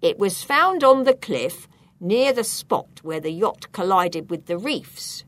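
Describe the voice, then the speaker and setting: A woman's voice: mid-range voice, animated, slow pace, no echo. A woman voicing a stern, thin policeman in a storybook, speaking with formal, firm authority as he interrogates. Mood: formal, probing.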